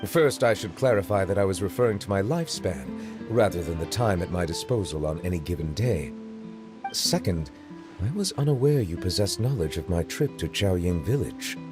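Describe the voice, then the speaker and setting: A stately male judge from a video game, speaking in a low, composed voice. He chooses every word with formal precision and measured calm. His humor is dry. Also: over music.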